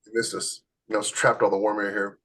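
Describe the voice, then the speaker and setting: A man's voice: fairly low pitch, level tone, fast talker, bright, forceful tone. Blunt, emphatic man giving advice. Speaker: podcast co-host and author. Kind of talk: casual chat giving writing advice.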